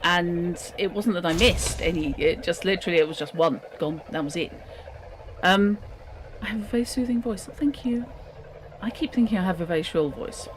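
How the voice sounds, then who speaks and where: A woman chatting on her gaming stream, giggly and animated. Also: fairly low pitch, normal speed, a little hiss or hum. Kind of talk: casual gaming stream chat.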